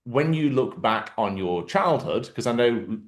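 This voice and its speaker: A man with a Mancunian accent hosting a relaxed podcast interview, explaining terms for the listeners. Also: mid-range voice, a little slow. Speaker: podcast host. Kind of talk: casual interview.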